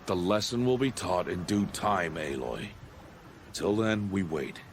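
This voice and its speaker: A man playing a stern, solemn middle-aged outcast hunter and foster father in a video game, speaking in a grave, measured, formal way. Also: low-pitched, animated, slight background noise. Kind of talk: video game cutscene voice acting.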